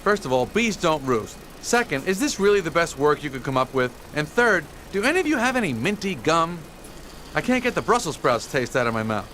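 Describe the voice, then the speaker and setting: Bright, wisecracking cartoon bee. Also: male voice, a little high, sing-song, a little fast, a little hiss or hum. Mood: sardonic. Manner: sardonic, conversational, exclaiming. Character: wisecracking, chatty, excitable young male bee. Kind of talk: animated game cutscene acting.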